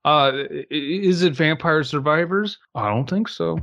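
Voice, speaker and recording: A man's voice: on the high side, unhurried, fairly bright tone, dull audio. A man co-hosting a podcast in casual chat, often chiming in with short joking asides. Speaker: podcast co-host.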